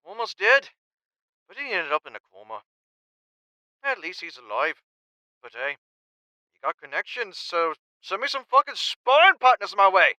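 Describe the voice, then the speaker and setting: A brash champion fighter, acted by a man in an audio drama, with a high, very sharp and forceful voice. He is cocky and blunt, swinging through his pitch in a dry, close recording. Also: sing-song, normal speed, pauses often, dry recording, full character voice, rough recording. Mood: boastful, worked up. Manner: loud, cocky, blunt, swearing. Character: brash, foul-mouthed, hard-drinking male champion fighter.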